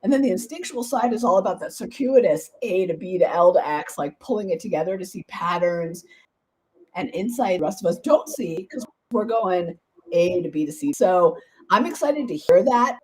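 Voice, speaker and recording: A woman hosting a podcast interview, her voice hoarse and partly gone, talking in a bubbly, enthusiastic, chatty way. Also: on the low side, animated, normal speed, a little mellow, poor audio. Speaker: podcast host.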